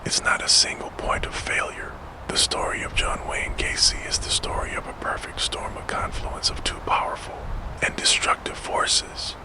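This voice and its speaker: A man narrating true crime in a soft, fully unvoiced ASMR whisper, slow and measured, close to the microphone. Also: poor audio.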